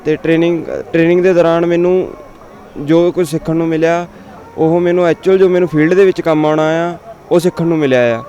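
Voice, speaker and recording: A man with a Punjabi accent, a new recruit from Sangrur, speaking haltingly as he switches between Hindi and Punjabi. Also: on the high side, fairly even intonation, dark tone, muffled recording. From Sangrur, Punjab, India. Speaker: paramilitary recruit.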